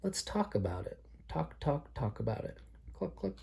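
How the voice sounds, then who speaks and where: A man's voice: a little high, big pitch swings, moderate pace, vocal fry, mellow, slightly rough, pitched above the performer's own voice, heavily acted. A man voicing a sympathetic, chatty chicken that clucks and repeats its words in a children's storybook. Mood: concerned, chatty. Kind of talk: children's storybook read aloud.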